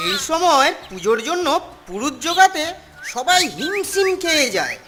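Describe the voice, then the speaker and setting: A woman's voice: sits high, noisy background. A woman voicing a scheming, curious, cocky schoolboy in a cartoon, confident and chummy. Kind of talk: cartoon voice acting. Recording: loud music behind the voice.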